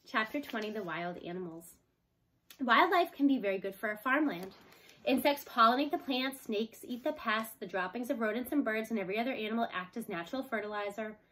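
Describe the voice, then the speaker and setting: A woman with a fairly high voice reads a children's book aloud. She narrates the scripted story as a storybook reader would for young listeners. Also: expressive, brisk, a bit bright, rough recording.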